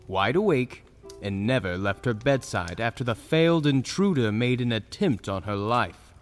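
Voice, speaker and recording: A man narrating a fantasy story in a dramatic, scripted storytelling style. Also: medium pitch. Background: soft music.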